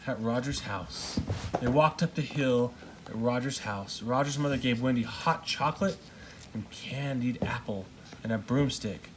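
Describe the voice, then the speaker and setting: A man's voice: mid-range voice, a little slow. A father reading a storybook aloud in narration, recorded at home. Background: household noise, young child interjecting.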